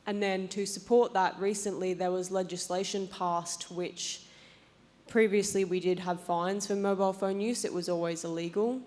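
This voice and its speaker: A woman's voice: mid-range voice, steady tone, moderate pace, darkish tone. A young Australian woman giving a prepared presentation as a panelist. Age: young adult. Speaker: panelist, transport policy advisor. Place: conference assembly stage, indoors.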